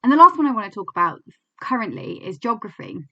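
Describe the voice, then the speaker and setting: Thoughtful English woman with a bright voice. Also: a little high, very animated, quick pace, dull audio. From England. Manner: thoughtful, candid, many fillers and restarts. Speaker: clinical psychologist. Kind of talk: reflective teaching talk to camera.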